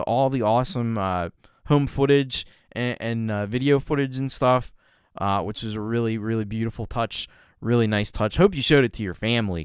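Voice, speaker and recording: A man hosting a casual livestream, reacting to music and rambling warmly with many fillers and restarts. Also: on the low side, clean tone, dry recording, clean studio sound. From Canada. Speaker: music reaction show host.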